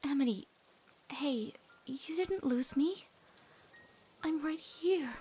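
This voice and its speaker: Woman acting as a teenage cartoon girl, high voice, anguished, guilt-ridden, protective, raw halting delivery, music underneath. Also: dark tone. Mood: soft, soothing regret. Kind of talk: emotional cartoon comic dub.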